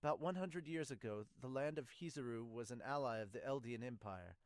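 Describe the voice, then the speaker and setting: Young man, mid-range voice, amateur manga dub read, slightly halting, close dry home recording. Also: medium pitch, clear, resonant tone, no room sound. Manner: halting reading with stumbles. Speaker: podcaster reading a manga aloud as an amateur dub. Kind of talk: amateur dramatic read-aloud of manga dialogue. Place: small home room, indoors. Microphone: large studio mic with pop filter, close.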